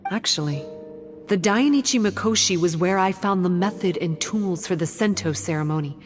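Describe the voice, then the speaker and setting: A woman's voice: fairly low pitch, normal speed, soft background music, natural voice. A woman playing a composed, mysterious shrine maiden in a video game, speaking in a matter-of-fact way. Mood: calm, businesslike.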